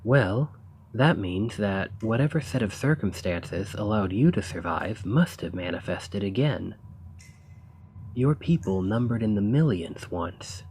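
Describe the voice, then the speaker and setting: A man playing an earnest, eager researcher of ancient civilizations in an ASMR roleplay, speaking in formal, gently sympathetic phrasing. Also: on the low side, animated, unhurried, husky, exaggerated voice, poor audio. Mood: hopeful, encouraging. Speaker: audio roleplay performer. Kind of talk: ASMR roleplay, scripted character acting.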